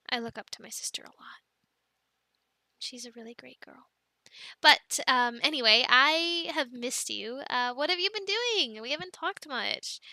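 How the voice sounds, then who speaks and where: Casting: actress for an affectionate, devout young girlfriend in an intimate audio roleplay; bright, leisurely delivery, giggly and hesitant. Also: on the high side, dry recording, exaggerated voice. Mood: fond, reflective. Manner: giggly, hesitant, chatty with fillers and pauses. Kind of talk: soft girlfriend roleplay, casual improvised-sounding chat.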